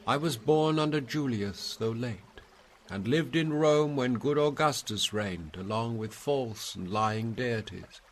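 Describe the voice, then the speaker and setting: A man's voice: medium pitch, a little slow, pitched above the performer's own voice, natural voice. A man voicing the grave ghost of an ancient Roman poet, guiding with solemn, oratorical delivery in a story reading. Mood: solemn, proud. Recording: noisy, hissy background.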